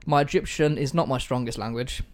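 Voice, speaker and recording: A man's voice: medium pitch, brisk. A man hosting a casual history podcast from home, joking about himself and swearing now and then, on a poor-quality mic. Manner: jokey, self-deprecating, swears casually. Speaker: podcast host. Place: room at home with pets and food on the table.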